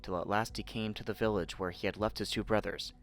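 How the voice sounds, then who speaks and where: A man narrating a fairy tale in an expressive, theatrical storytelling manner. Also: lowish voice, quick pace.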